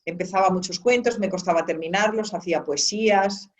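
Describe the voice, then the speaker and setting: A woman guest in an interview, telling a long story in a relaxed, rambling way with many restarts. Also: mid-range voice, fairly quick. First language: Spanish. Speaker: author and guest. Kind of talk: conversational interview. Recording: dull lo-fi sound.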